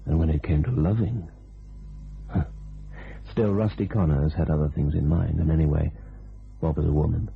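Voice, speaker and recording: An actor playing a cynical, dry hardboiled narrator of a crime radio play. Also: male voice, rumbling low, fairly even intonation, normal speed, gravelly, long pauses, slightly rough, soft background music, full character voice. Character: hardboiled male narrator of a pulp crime tale. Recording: tinny old radio, faint hiss.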